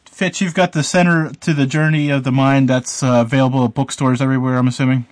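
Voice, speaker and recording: Quick, brisk male host, dry close recording. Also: mid-range voice, little pitch movement, no room sound. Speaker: podcast host. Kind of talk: casual interview hosting.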